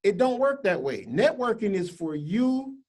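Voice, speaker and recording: A man's voice: sits high, sing-song, measured pace, darkish tone. Man, co-host, joking, folksy phrasing, video call audio. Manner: joking, folksy Southern-style dialect phrasing. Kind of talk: casual banter and slide reading.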